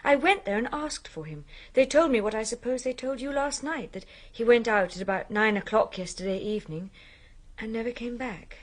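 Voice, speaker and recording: A woman's voice: a little high, normal speed, lightly acted. An actress playing an anxious, earnest, well-bred young woman in a radio drama. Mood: urgent, worried.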